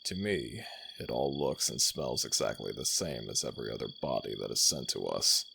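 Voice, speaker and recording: A man voicing an ancient jackal god of the dead in an ASMR audio roleplay, commanding yet tender, in a deep, slow, gravelly voice. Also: very animated, noisy background, full character voice.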